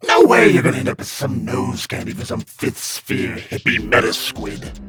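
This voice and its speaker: A man playing a glib, sleazy demon guide of hell in a comic dub, full of slangy, theatrical sales patter. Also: mid-range voice. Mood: brash, teasing. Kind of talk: comic-book dub voice acting. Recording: loud music under the voice. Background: prominent music.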